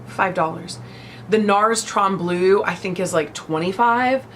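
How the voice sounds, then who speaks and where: A woman's voice: mid-range voice, unhurried, fairly bright tone, slight background noise. A young woman hosting a beauty vlog, chatting casually and gushing about products, still a bit congested from a cold. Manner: bubbly, gushing, chatty with many fillers. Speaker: beauty vlogger. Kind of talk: casual chat.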